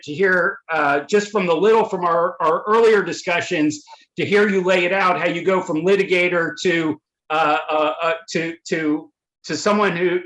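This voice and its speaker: A man hosting a webinar, introducing the panelists in a halting way, with many fillers and restarts, over a video call. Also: fairly high pitch, a bit bright. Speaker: webinar host and moderator. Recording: echoey room audio.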